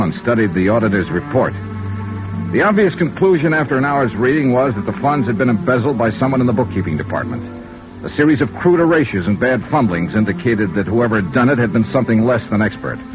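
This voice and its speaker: Cool, low-voiced American radio detective. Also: male voice, very animated, brisk, over music. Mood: wry narration. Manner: dry, unruffled, matter-of-fact. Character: cool, wry freelance insurance investigator. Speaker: lead actor in a radio detective series. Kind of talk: 1950s radio noir acting and first-person narration. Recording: muffled, hissy old radio recording.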